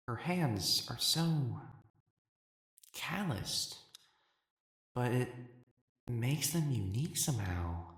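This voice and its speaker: A man's voice: medium pitch, creaky, fairly bright tone, breathy edge, no echo, studio quality, heavily acted. A man voicing an elegant, effeminate male matchmaker in a scripted audio roleplay, prim and formal, haughty yet easily flustered. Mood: smitten musing.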